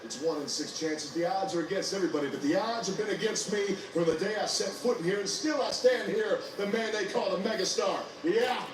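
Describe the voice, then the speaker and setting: A man's voice: high voice, a little fast, hardly any pauses, distant mic, noisy background. Boastful man in an echoey TV promo. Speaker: wrestler giving a promo. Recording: echoey TV broadcast heard from across a room. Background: TV music.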